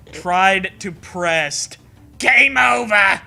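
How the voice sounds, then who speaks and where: A sarcastic man with a very bright, sharp voice set a little high. He reads a story with exaggerated drama and breaks off into sweary mockery, with music playing behind him. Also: expressive, very slow, vocal fry. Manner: sarcastic, foul-mouthed, exaggerated. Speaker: co-host of a comedic read-along. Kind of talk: mocking dramatic reading with riffing commentary.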